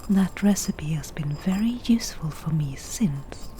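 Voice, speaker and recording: A woman playing a chatty, mildly wicked forest witch in an ASMR roleplay, whispering softly and musingly as she talks. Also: low voice, expressive, slight background noise. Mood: wry reminiscing. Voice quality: soft breathy whisper. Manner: unhurried, musing, conversational.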